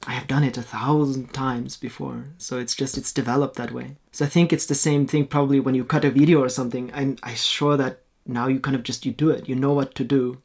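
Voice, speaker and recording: A man's voice: medium pitch, little pitch movement, fairly quick, gravelly, few pauses, a little mellow, slightly rough. A man who grew up in Norway and speaks Norwegian as his first language, a painter talking in a casual interview, reflective and hesitant with many restarts. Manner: reflective, hesitant, with frequent restarts and light laughs. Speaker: guest, painter.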